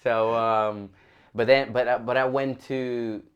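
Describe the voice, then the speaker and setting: A young Brazilian man with a Brazilian Portuguese accent, a fighter telling his story in a casual interview, speaking haltingly with many restarts. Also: medium pitch, steady tone, smooth, dull audio.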